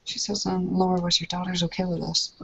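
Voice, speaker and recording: Gravelly woman roleplaying and narrating. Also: fairly low pitch, completely flat delivery, a little fast, a little hiss or hum. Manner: hesitant, with many ums and uhs. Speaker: tabletop player. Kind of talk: in-character roleplay mixed with narration of her character. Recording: muffled lo-fi recording.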